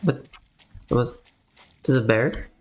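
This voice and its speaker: A woman streams a video game and reacts off the cuff. The voice is extremely low for a woman, and the talk is informal and slangy. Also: takes it slowly, darkish tone, breathy edge. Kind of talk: casual reactions while playing a game.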